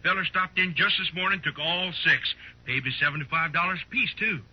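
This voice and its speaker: An actor playing a chatty, curious frontier gun dealer with a rural Western American accent and a husky voice, in a 1950s radio western. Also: male voice, a little high, brisk, natural voice. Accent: rural American West. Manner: folksy, talkative. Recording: muffled old-time radio recording.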